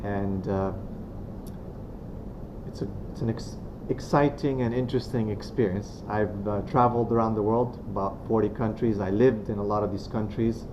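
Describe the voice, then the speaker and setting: A man from Romania gives a talk on faith to a room of students. He speaks in a fairly low voice, softly and without hurry. The recording is muffled and has a steady noise behind it. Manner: soft-spoken, unhurried, many pauses and fillers. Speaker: guest speaker. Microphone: venue microphone. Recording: dull lo-fi sound with clear background noise.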